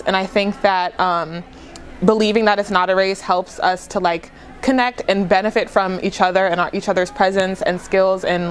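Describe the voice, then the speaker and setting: A young woman with a medium-pitched voice gives a casual student interview answer. She drops "like" in often, and a little ambient noise sits behind her. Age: young adult. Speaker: university student.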